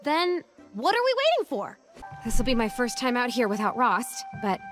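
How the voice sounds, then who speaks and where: A woman playing a plucky, earnest young heroine with dry wit in an animated game. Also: on the high side, normal speed, over music. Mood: wry, friendly.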